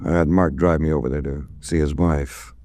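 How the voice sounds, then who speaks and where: An actor playing a dry, unhurried hard-boiled investigator in a 1940s radio drama, narrating and talking in character. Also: male voice, a bass voice, steady tone, darkish tone, no echo. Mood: sober, probing. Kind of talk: 1940s radio acting, first-person narration and dialogue.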